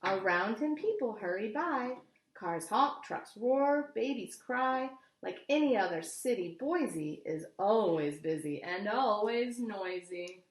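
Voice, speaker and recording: A woman reading a picture book aloud like a teacher, with expressive, deliberate storytelling and simple explanations for children. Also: mid-range voice, reverberant, slight background noise.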